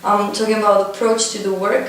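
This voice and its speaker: A woman's voice: mid-range voice, fairly quick. A woman with as her asks interview questions in careful English and answers often with short "yeah"s. She is recorded from a distance in a very echoey room, with faint noise in the background. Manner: steady, frequent backchannel yeahs, non-native English phrasing.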